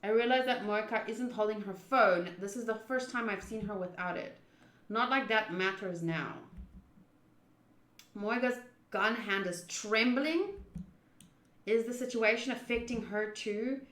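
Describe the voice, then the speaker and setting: A young woman with an expressive, chatty manner, reading a visual novel aloud and commenting as she plays. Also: mid-range voice, measured pace. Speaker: streamer playing a visual novel. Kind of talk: gaming commentary, reading story text aloud. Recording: roomy, echoing voice.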